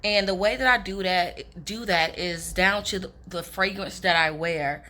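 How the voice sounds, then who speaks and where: Raspy-voiced young woman vlogger. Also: on the low side, unhurried, bright, forceful tone. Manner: chatty, confiding, addresses viewers as girls. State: hoarse from talking all day. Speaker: relationship advice vlogger. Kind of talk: casual advice talk to camera.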